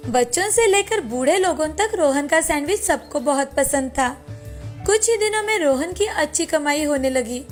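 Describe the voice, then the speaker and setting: High-voiced woman narrating a moral story. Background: faint background music.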